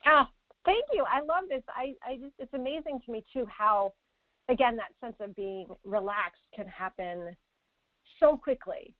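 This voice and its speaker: Woman, narrow tinny phone audio, dry, higher pitch, effusive caller, emphatic casual sharing. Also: expressive, a little slow, dry recording. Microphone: telephone. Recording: thin, narrow phone-line sound.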